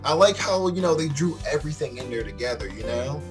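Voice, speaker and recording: A man giving casual let's play commentary and reading story text aloud, often halting and repeating phrases as he comments. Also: a little high, expressive. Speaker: gaming video host. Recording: music behind the voice. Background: game music.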